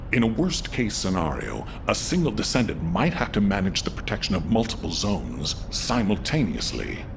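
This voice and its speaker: A man voicing a grave, cautious military strategist in a video game, speaking in a measured, formal, deliberate way. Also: low-pitched, vocal fry, background noise, exaggerated voice. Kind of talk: scripted video game mission briefing.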